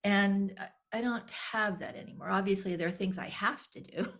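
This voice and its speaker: A woman's voice: medium pitch, moderate pace, fairly bright tone. A woman in her sixties, a podcast guest, talking candidly and reflectively, often restarting her sentences and laughing lightly. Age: 60s. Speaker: guest, nonprofit executive director. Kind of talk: conversational podcast interview.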